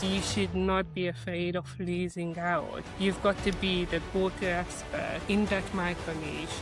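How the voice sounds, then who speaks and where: A woman's voice: fairly low pitch, low-quality recording. A middle-aged woman with a Hungarian accent, speaking conversationally as an interview guest about herself and her work. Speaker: interview guest, marketing executive. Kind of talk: conversational interview, telling career story and advice.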